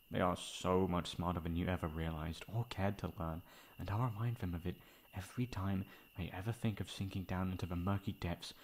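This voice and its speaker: A man playing a caring, protective young best friend in an intimate ASMR roleplay, with a soft, breathy voice. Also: deep voice. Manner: intimate, comforting, casual. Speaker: voice actor.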